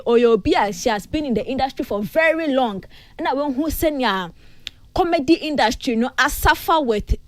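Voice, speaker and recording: A woman's voice: on the high side, no echo. A woman giving her view on a radio entertainment panel, with hesitant starts.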